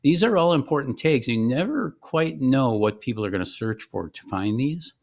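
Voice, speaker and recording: A man giving an informal screen-share demonstration as the presenter of a software product. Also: mid-range voice, lively intonation, normal speed, gravelly.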